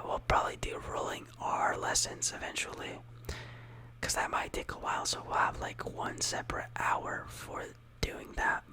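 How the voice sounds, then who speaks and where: A speaker whispering a casual ASMR lesson, softly repeating words and rambling with lots of fillers. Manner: soft whispering throughout. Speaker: teacher of Italian words.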